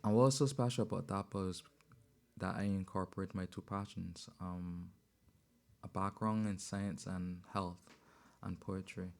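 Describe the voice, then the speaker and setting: A man, a poet guest on a radio show, telling his story in a measured way with many pauses and ums. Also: low-pitched, fairly even intonation. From Barbados. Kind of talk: relaxed interview talk. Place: radio studio. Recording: loud music bed behind voice. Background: music.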